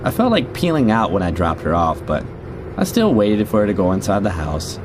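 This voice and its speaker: A man's voice: on the low side, huge pitch swings, fairly quick. A middle-aged man playing a jaded rideshare driver, narrating a scripted audio drama in a conversational, wry, confiding way. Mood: irritated, tense. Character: jaded male rideshare driver telling creepy stories. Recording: noisy, car and street ambience behind.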